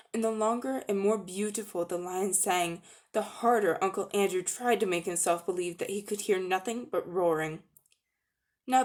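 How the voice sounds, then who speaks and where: Woman, mid-range pitch, storybook narrator, expressive dramatic reading. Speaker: audiobook-style narrator.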